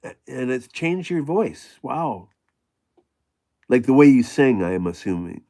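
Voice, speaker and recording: A middle-aged man with a gravelly voice, hosting a casual livestream and chatting with viewers in a rambling way full of fillers and restarts. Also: medium pitch, very animated, a little slow, dark tone. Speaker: livestream host reading chat.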